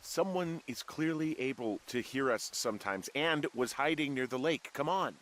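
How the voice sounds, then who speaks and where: A man's voice: mid-range voice, animated, normal speed, fairly bright tone, natural voice. An actor playing a sarcastic, suspicious everyman fire lookout in a video game, with an American accent and a plainspoken, naturalistic delivery. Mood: agitated, suspicious. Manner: sardonic, plainspoken.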